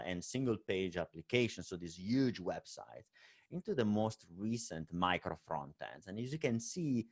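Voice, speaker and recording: Italian guy giving a tech talk online, low voice, lots of uhs and right?s. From Italy. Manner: many fillers and hesitations, frequent "right?" tag. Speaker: conference speaker. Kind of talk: conference talk presentation.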